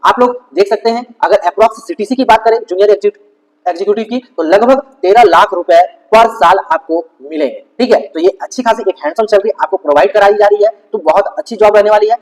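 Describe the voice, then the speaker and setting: A man's voice: high voice, fast talker. A man hosting an informational video, explaining a notice step by step in an instructional manner. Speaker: YouTube host explaining government job vacancies. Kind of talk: informational video narration, walking through a notice on screen. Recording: echoey hall-like reverb.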